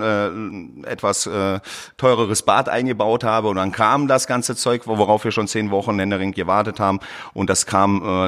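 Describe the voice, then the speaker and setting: A man from Berlin answering conversationally as an interview guest, explaining things with frequent hesitant pauses. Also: fairly low pitch, fairly bright tone. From Berlin, Germany. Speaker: tradesman and social media creator, interview guest.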